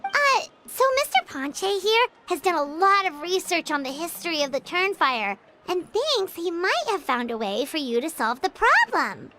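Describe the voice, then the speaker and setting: Sweet polite girl, very high, lively. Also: normal speed, background noise.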